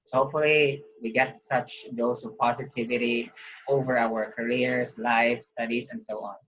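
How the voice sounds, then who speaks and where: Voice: medium pitch, level tone, normal speed, fairly bright tone, highs cut off. A host casually reacting to a video and talking to viewers in a chatty, upbeat way.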